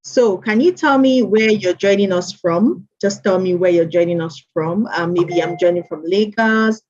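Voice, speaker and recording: A woman's voice: fairly low pitch, normal speed, a little mellow. A woman hosting an online mentorship session, greeting attendees by name and repeating welcomes. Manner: chatty, repeats greetings, reads names aloud. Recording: dull lo-fi stream audio.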